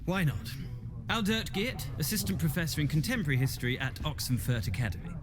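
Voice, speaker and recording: An actor playing a pompous, naive and zealous academic in a video game, with haughty, flowery, declamatory speech. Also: male voice, a little high, sing-song, quick pace, noisy background. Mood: lecturing.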